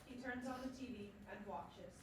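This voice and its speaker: A woman's voice: on the high side, normal speed, noisy background. Female stage-directions narrator, scripted read, distant in a very echoey room.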